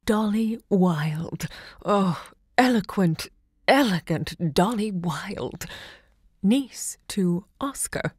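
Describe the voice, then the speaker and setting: An actress playing a self-assured literary salon hostess in an audio drama, poised and savouring each word. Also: female voice, mid-range voice, lively intonation, extremely slow, breathy edge, no room sound, clean studio sound, heavily acted. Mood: admiring.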